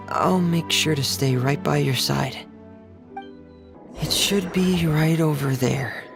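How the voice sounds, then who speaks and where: An actor playing a polite, soft-spoken young male mechanic in a video game, earnest and measured in manner. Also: medium pitch, vocal fry. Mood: gently reassuring. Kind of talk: video game cutscene acting. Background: game background music.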